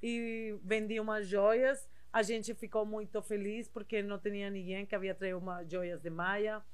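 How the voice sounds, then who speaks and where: A woman from southern Spain, a native Spanish speaker, talking casually in non-native Portuguese with a Spanish accent, slightly halting and mixing in Spanish words. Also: medium pitch, flat delivery, smooth. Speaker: interview guest, body piercer. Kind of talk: casual conversational storytelling.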